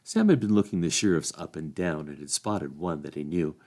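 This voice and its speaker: A man reading a storybook aloud as narrator, in an expressive storytelling style. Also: a bass voice, fairly quick. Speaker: volunteer story reader.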